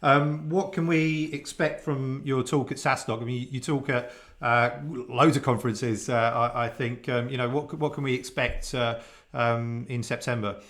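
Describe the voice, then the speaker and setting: A man asking casual interview questions over a video call, in halting phrases broken up by many fillers. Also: medium pitch, steady tone, fairly bright tone. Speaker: interviewer, conference organiser.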